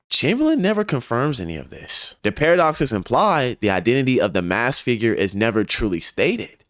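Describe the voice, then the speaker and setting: Low-voiced man narrating a dramatic video essay. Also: no echo, studio quality.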